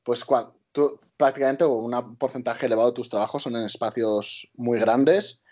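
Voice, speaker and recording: A man's voice: mid-range voice, steady tone, moderate pace, darkish tone. Male interviewer, conversational, rambling phrasing, frequent rewording, slightly lo-fi sound.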